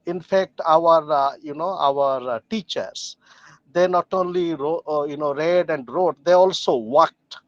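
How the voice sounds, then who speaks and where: Man, high-pitched, formal academic remarks, halting delivery, muffled online-call sound. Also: no echo. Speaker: academic discussant. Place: joining a video call from a train.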